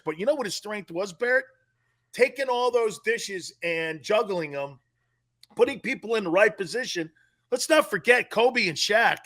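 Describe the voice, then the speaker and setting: A man in his late fifties, an animated, joking sports talk co-host telling rambling stories. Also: high-pitched. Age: late 50s.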